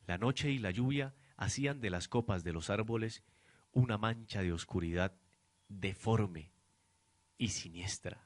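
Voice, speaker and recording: A man's voice: on the low side, a little slow, dry recording. A young man reading dramatic scripted narration for a radio drama, in a noisy amateur recording. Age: young adult. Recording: noisy amateur student production.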